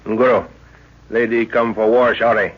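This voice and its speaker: Commanding professor, low husky voice. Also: male voice, level tone. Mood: thoughtful. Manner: brisk, authoritative. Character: commanding, fatherly professor and adventurer. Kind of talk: 1930s-40s radio serial acting. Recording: muffled old radio recording.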